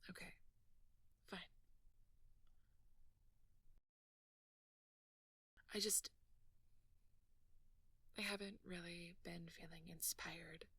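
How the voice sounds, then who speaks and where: A woman playing a nerdy, sleepy, flustered and playfully teasing girlfriend in an intimate ASMR roleplay, speaking softly and haltingly, often near a whisper. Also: lowish voice, fairly even intonation, slightly rough, no echo, recorded from a distance, full character voice. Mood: soft, resigned. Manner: halting, bashful, conversational.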